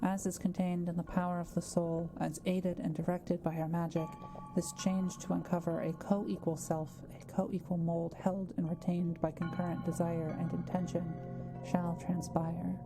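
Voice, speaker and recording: A woman playing a kind, gentle witch in an intimate roleplay audio drama, speaking softly and carefully to the listener. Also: lowish voice, flat delivery, normal speed, over music, lightly acted, poor audio. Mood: solemn incantation. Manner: soft-spoken, reassuring, careful explaining.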